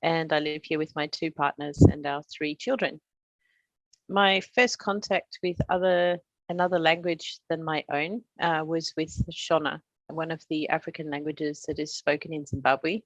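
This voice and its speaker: A middle-aged woman from Australia, a translator, giving a prepared presentation in a measured, explanatory way with touches of humor. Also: low voice, steady tone, dry recording, dull audio.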